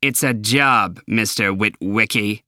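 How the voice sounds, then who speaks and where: A man voicing a smug, cold-eyed male company boss in a storybook reading, icily polite with sudden angry shouts. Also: fairly low pitch, slow pace, no room sound, studio quality, heavily acted. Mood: coldly smug. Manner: icy politeness breaking into shouting.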